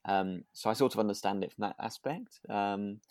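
A man's voice: lowish voice, moderate pace, a little mellow, no room sound, clean studio sound. A man chatting casually as a podcast co-host, thoughtful and tentative, often seeking agreement. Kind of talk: relaxed chat discussing a radio drama.

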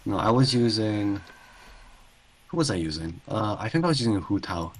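Male co-host for gaming talk. Lower-pitched voice, informal bantering conversation. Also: animated, normal speed. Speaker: podcast co-host. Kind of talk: casual chat about a video game.

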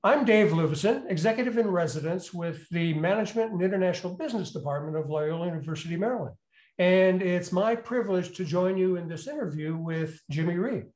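Echoey room sound, high male voice, courteous interview host, deliberate phrasing. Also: a little mellow. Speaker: interview host, business school executive in residence. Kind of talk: conversational hosted interview. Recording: roomy, echoing sound.

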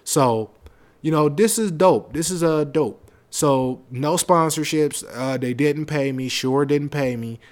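Male podcast host, deep projected voice, casual slangy rambling delivery, close mic. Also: slow. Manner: loose, rambling, conversational with slang. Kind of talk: casual solo podcast talk. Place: home setup, seated on a leather seat. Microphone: close podcast microphone.

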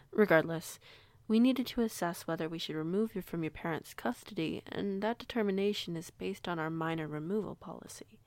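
A low female voice with heavy fry, recorded close and dry, gives us a werewolf pack leader, acted by a woman in an audio roleplay. She is composed and kindly, with formal, measured authority. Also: no echo.

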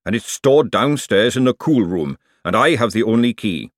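A man's voice: lowish voice, lively intonation, moderate pace, dry recording, studio quality, lightly acted. A man voicing a nervous, servile male chef in an audiobook, hesitant and deferential. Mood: earnest. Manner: hesitant, servile, repeatedly saying "sir". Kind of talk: audiobook character dialogue.

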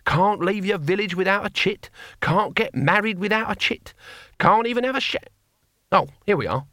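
An old barbarian for an audiobook, high cackly voice, gruff n sarcastic, blunt rough talk. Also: male voice, expressive, normal speed, bright, forceful tone, no room sound, studio quality. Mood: sarcastic ranting. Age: older. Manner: rough colloquial speech, sardonic and blunt. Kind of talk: audiobook character voice.

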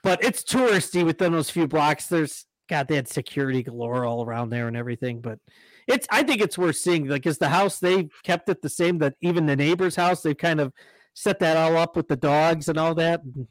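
Rambling man with a higher voice. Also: a little fast, dry recording, rough recording. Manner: rambling storyteller, lots of restarts and laughs. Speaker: podcast co-host. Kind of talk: casual chat.

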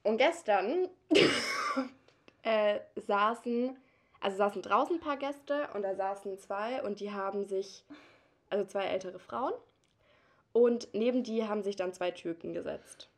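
A woman's voice: mid-range voice, measured pace. A young woman co-hosting a podcast, telling a work anecdote in casual chat and laughing often. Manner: laughs often, tells anecdotes with acted quotes. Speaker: podcast co-host.

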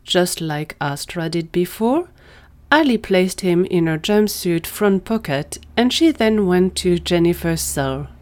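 A woman's voice: fairly low pitch, animated, unhurried, darkish tone. A woman narrator reading a scripted audio drama story.

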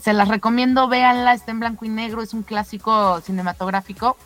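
A woman's voice: a little high, level tone, a little fast, a bit bright, soft background music. A woman chatting casually as a radio co-host. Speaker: talk show co-host.

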